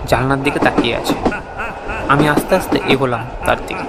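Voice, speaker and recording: A man narrating a scripted horror story in the first person, with a suspenseful, expressive, dramatic delivery. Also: medium pitch, fairly quick.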